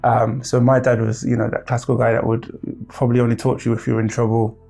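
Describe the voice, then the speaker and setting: A man's voice: mid-range voice, flat delivery, fast talker, gravelly, warm tone, soft background music. A man reflecting hesitantly on his upbringing, with many fillers and restarts. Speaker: discussion participant. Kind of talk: reflective personal testimony.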